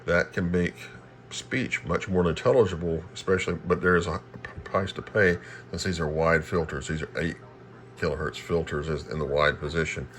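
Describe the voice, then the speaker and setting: Deep-voiced man over radio hiss. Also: fairly even intonation, a bit bright. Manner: halting, thinking aloud while operating. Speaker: radio hobbyist demonstrating equipment. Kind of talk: casual hands-on demonstration. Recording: clear radio static and hiss behind. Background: shortwave radio noise.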